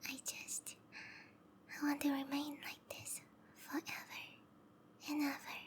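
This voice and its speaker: A woman voicing a sweet, obsessive snake-woman lover in a whispered ASMR roleplay, speaking softly and tenderly with intimate, lingering phrasing. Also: high-pitched, monotone, takes it slowly. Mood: adoring. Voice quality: soft, breathy whisper.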